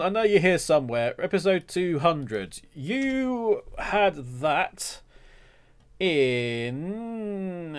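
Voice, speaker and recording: A man hosting a podcast in casual solo talk, with a rambling, self-deprecating and mock-indignant humour. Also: high voice. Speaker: podcast host.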